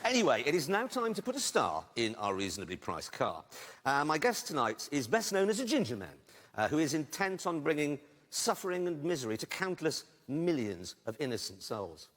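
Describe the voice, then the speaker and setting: A man's voice: fairly high pitch, sing-song, fairly quick, fairly bright tone. A man hosting a studio chat show, interviewing a guest in a teasing, jokey way. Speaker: host. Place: TV studio with live audience. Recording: cheering studio crowd and noise.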